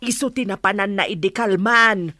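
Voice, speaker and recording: A woman's voice: mid-range voice, normal speed, pushed and bright, dry recording, lightly acted. A woman with an Ilocano accent playing a concerned, protective mother in a radio drama. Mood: indignant. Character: concerned protective mother urging her daughter to leave.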